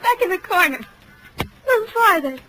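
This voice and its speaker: A woman's voice: very high voice, lively intonation, fairly quick, sharp tone, exaggerated voice, low-quality recording. An actress playing a distraught, nervous young woman in a 1940s radio detective drama. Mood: tense, urgent. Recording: old radio recording with faint noise.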